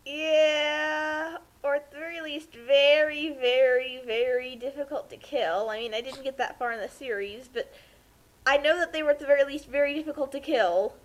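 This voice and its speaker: A woman's voice: high-pitched, fairly even intonation, normal speed. A woman giving casual, joking commentary as she reacts to a story.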